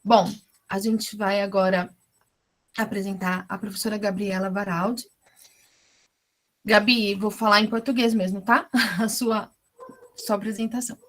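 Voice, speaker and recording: A woman moderating an academic book launch, introducing a guest speaker in a measured way with frequent tag questions. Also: mid-range voice, level tone, a bit bright.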